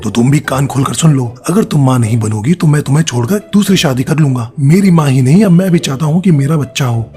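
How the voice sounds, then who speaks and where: A man's voice: medium pitch, expressive, quick pace, hardly any pauses, mellow, faint music behind, natural voice. A man voicing a stern adult male bird in a cartoon story, speaking firmly. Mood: stern, angry. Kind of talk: Hindi cartoon story dialogue.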